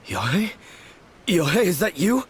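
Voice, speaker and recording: A weakened young swordsman in a video game. He speaks slowly and earnestly in a fairly high male voice, with self-pity and swooping inflection. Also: very animated, slight background noise. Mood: dazed, shaken. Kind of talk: English video game voice acting.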